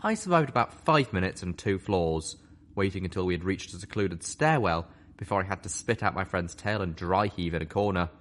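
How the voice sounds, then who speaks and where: A man with a very low voice reads a long story aloud. His delivery is animated, with wide swings in pitch. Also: fairly quick. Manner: expressive, animated reading. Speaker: story reader. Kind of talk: audiobook narration of fan fiction.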